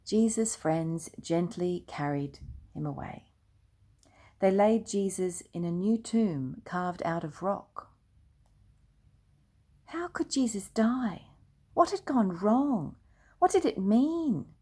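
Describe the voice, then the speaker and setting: An older woman reads a children's storybook aloud at an unhurried, slow pace. Her voice is gentle and hushed and sits at a middle pitch. At times she falls to a soft near-whisper. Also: lively intonation, husky.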